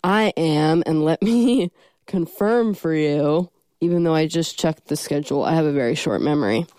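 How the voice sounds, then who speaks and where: A woman's voice: sits low, darkish tone, dry recording, clean studio sound. Stuffy-nosed young woman hosting college radio. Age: young adult. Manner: rambling, self-deprecating, many ums. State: stuffy from a cold. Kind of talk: casual radio chat.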